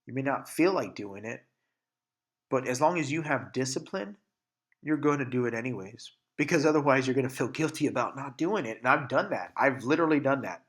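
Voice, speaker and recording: A man's voice: medium pitch, animated, a little fast, a bit bright. Young male podcast host, stuffy nose from allergies, candid and swears a lot, restarts sentences often. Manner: candid and profane, with frequent restarts and fillers. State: congested and sniffling from allergies. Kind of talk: casual solo podcast monologue.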